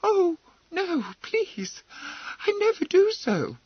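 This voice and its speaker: A man's voice: very high voice, wildly swooping pitch, slow, no echo, lo-fi sound, pitched above the performer's own voice, exaggerated voice. A man voicing a timid, frightened young woman who stammers and pleads, in a storybook reading. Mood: horrified. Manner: stammering, breathless protests.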